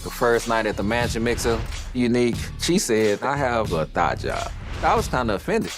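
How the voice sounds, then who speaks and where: A man's voice: mid-range voice, very animated, moderate pace, music in the background. A man on a reality show, a comedian, bluntly confronting someone in a heated conversation.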